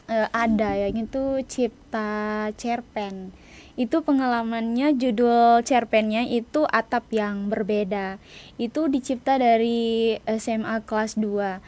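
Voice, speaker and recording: Young adult female interview guest, slightly high voice, informal, hesitant phrasing. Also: steady tone, slow pace, darkish tone. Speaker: interview guest, student writer. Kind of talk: casual interview chat.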